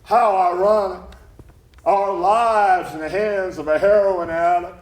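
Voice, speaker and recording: A man's voice: high-pitched, normal speed, roomy sound, pitched above the performer's own voice, natural voice. Man acting Swan, a wry sardonic soldier. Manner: wry, ironic.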